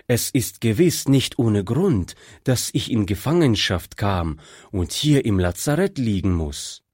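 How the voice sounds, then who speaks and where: Male audiobook narrator, slow and measured, husky, lively pitch, dry close mic. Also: no echo. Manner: slow, measured, devout storytelling.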